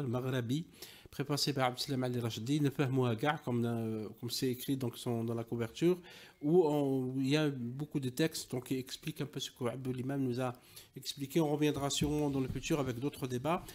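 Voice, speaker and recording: A man with a mid-pitched voice hosts a talk programme. His delivery is semi-formal and somewhat halting, circling around as he phrases things. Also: fairly even intonation, darkish tone. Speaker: radio interview host. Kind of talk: hosting an interview, semi-formal.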